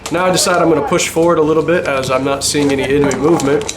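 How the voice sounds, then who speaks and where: A man's voice: fairly high pitch, steady tone, rapid-fire. A man giving casual voice-over commentary on his own paintball game footage, chatty and self-deprecating, with small chuckles.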